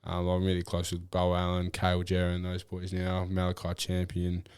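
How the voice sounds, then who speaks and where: A young Australian man answering interview questions about his football in a relaxed, rambling way, with many fillers and restarts. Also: deep voice, normal speed, clean tone, dry recording, clean studio sound. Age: young adult. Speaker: draft prospect guest. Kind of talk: casual interview.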